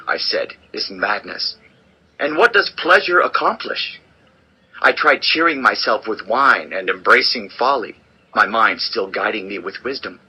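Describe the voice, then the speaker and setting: A man's voice: lowish voice, pushed and bright, slightly rough, exaggerated voice, poor audio. An actor playing a world-weary, wise old king in a solemn, theatrical dramatized scripture reading. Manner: solemn, deliberate, theatrical delivery. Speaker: audio Bible narrator and actor.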